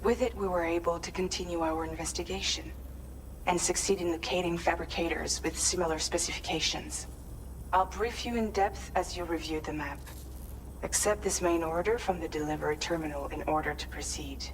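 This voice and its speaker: An actress playing a composed, businesslike mission coordinator in a video game, giving orders in a measured, formal way. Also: female voice, on the low side, slight background noise, lightly acted, rough recording. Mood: formal, briefing. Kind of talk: scripted video game briefing.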